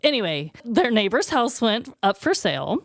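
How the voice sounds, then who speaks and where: A woman's voice: fairly high pitch, sing-song, normal speed, no echo, studio quality. Chatty woman, candid podcast co-host. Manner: chatty, candid, swears freely, fond of "like". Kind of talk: casual chat.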